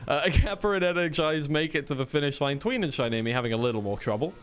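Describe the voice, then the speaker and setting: A man's voice: on the high side, animated, quick pace, fairly bright tone, clear, resonant tone. A man commentating live on an esports racing match, casually rambling and correcting himself between bursts of play-by-play. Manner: rambling, self-correcting asides.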